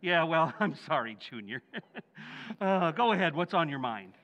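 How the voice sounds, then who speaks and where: Casting: male actor for blundering middle-aged dad, old-time radio comedy, bright high voice, big swooping delivery, folksy. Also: animated, moderate pace. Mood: blustery, advising. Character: blustery, blundering working-class father, middle-aged. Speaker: stage actor in radio recreation. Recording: reverberant hall.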